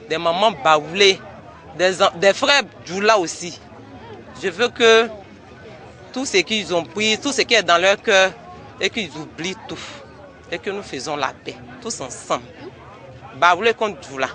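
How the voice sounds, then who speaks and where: A woman with an Ivorian accent, a local resident giving halting testimony outdoors. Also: fairly low pitch, pushed and bright. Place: outdoors in a town. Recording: outdoor, faint crowd behind.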